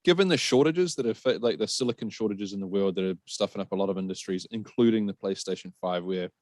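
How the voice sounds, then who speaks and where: A man's voice: fairly low pitch, fast talker, a little mellow, no echo, clean studio sound. A man chatting on a podcast, informal and hesitant, often correcting himself. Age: adult, middle-aged or younger. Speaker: podcast panellist. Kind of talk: casual podcast discussion.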